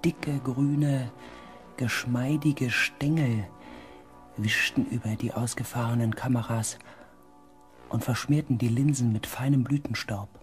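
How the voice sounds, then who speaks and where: Male actor as reflective space explorer telling his report, measured, mid voice, music behind. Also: mid-range voice, fairly even intonation, natural voice. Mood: somber, reflective. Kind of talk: dramatic scripted narration, science fiction radio play.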